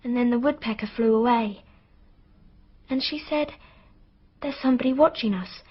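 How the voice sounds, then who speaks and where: A young girl witness in a radio drama, with a high, breathy voice. She is earnest and hesitant, as if confiding a secret. The recording sounds dull and muffled. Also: moderate pace. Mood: haunted recounting.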